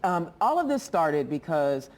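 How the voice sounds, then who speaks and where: A woman's voice: deep voice, sing-song, unhurried, darkish tone. A woman debating politics as a TV panelist, emphatic and combative.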